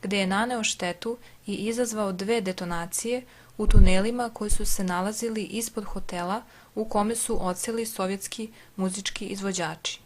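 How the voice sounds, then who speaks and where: A woman from Serbia with a Serbian accent, reading a text aloud and translating it, halting as she searches for words. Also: medium pitch. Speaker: video narrator.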